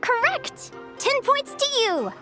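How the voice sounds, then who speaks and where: A woman's voice: extremely high pitch, very animated, slow pace, heavily acted. A woman playing a cheerful young adventuring advisor in a video game, quizzing and instructing in an upbeat, playful way. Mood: perky. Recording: music behind the voice.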